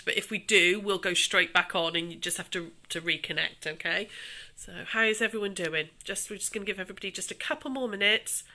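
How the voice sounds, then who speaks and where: A middle-aged woman with a bright, forward voice that sounds a little snuffly and blocked in the nose. She speaks at a medium pitch in a chatty, informal way, like a craft tutor talking to online viewers from home. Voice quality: slightly snuffly nasal. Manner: chatty, greeting viewers by name with endearments. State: snuffly from allergies. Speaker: craft tutor hosting a livestream. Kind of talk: casual live chat to viewers. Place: dining room at home. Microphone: phone on livestream.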